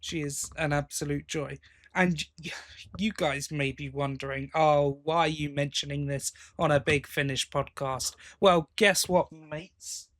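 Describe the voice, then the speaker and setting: A man's voice: fairly high pitch, fairly even intonation, unhurried, fairly bright tone. A British man hosting a podcast in casual chat, rambling along and often interrupting himself. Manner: chatty, rambling, self-interrupting. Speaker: podcast host.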